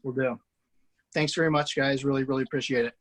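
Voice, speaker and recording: Laid-back guy hosting a video call, mid pitch, laughs a lot. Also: steady tone, fast talker, slight background noise. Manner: easygoing, laughs often. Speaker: host of a table read. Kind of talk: casual video-call chat.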